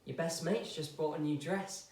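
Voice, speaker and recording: Troubled young man, played by a man, confrontational and needling, high voice, far from the mic. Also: normal speed, a little mellow, natural voice. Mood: intense. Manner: intense, accusing, goading. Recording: echoey room from far off.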